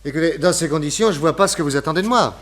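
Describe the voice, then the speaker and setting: Shrewd, unflappable private detective. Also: male voice, fairly high pitch, fairly bright tone. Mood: skeptical. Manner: probing, measured, dryly skeptical. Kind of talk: French radio detective play acting.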